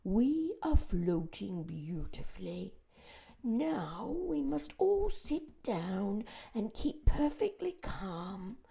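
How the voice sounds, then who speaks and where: A woman voicing an old, courteous male grasshopper who speaks slowly in a hushed, breathy way. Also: medium pitch, sing-song, heavily acted. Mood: calm. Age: older.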